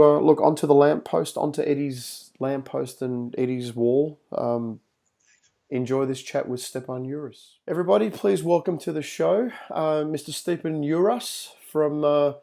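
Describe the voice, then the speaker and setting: Gravelly, mellow-voiced man hosting a podcast. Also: a little high, measured pace. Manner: relaxed, rambling with many fillers and restarts. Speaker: podcast host. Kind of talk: casual chat.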